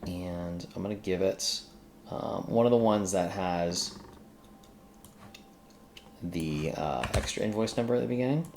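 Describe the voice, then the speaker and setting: Male screencast host, low gravelly register, informal think-aloud delivery with self-directed muttering, light background noise. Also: unhurried, pauses often, darkish tone. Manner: mutters to self while working. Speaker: screencast host working through a coding task. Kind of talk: casual think-aloud coding tutorial.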